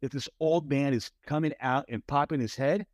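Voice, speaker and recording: A man co-hosting a casual podcast chat, talking in an animated, expressive way with a big voice, often checking in with "right?". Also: mid-range voice, normal speed, dry recording, clean studio sound. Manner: many restarts and repeated "right?". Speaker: podcast co-host.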